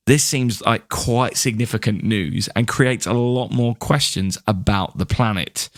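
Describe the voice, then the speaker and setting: A man's voice: on the low side, no echo, studio quality. A man co-hosting a podcast, presenting news in a conversational style. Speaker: podcast co-host.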